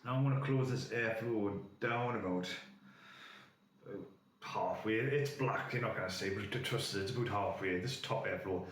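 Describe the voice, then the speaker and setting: A man's voice: fairly low pitch, moderate pace, fairly bright tone. Chesty man rambling through a demo. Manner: rambling, repeats himself, lots of fillers. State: chesty with a cold. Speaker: vape reviewer. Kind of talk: casual hands-on product demo. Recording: echoey room with faint noise.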